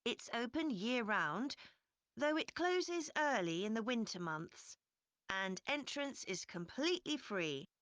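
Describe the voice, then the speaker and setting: A woman playing a park representative giving a measured, scripted informational presentation to visitors. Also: on the high side, big pitch swings, fairly bright tone, no echo, full character voice. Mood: informative. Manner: measured, clearly explanatory. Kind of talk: scripted informational presentation, listening test recording.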